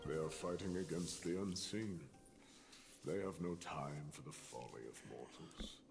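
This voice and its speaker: A grim video game nobleman, with an extremely low voice full of gravel. He speaks solemnly, with disdain for lesser mortals, over a music bed. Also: animated, unhurried, full character voice. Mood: scornful. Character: grim lordly man, stern and scornful of mortals.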